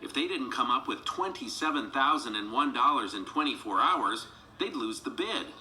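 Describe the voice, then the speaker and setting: A man narrating a children's read-along storybook in an animated, dramatic storytelling manner. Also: mid-range voice, normal speed, slight background noise, poor audio. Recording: music behind the voice.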